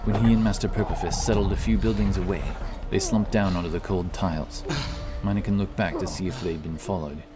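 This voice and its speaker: A very low-voiced man narrating a fantasy audiobook with steady, dramatic delivery. Loud music runs underneath his voice. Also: brisk.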